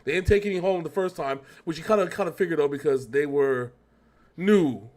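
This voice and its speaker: A man's voice: fairly high pitch, brisk, darkish tone. An American man hosting a reaction show in casual, slangy, rambling chat.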